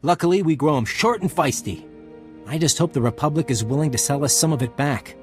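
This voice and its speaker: An actor voicing a plain-spoken resistance leader with dry humour in a video game. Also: male voice, fairly high pitch, expressive, a little fast, soft background music, natural voice. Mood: wry, grateful. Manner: plain-spoken, drily humorous. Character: wry, grateful resistance leader on an occupied world.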